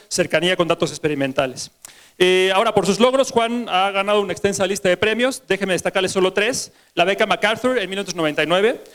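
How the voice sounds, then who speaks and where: A man's voice: high voice, fairly bright tone. A man formally introducing a guest speaker at a university colloquium, with frequent fillers. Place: university auditorium.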